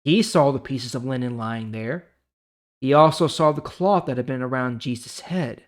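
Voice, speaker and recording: A man hosting a Bible reading series, speaking conversationally with dramatic pauses and emphasis as he reads and comments. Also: mid-range voice. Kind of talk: casual devotional reading and commentary.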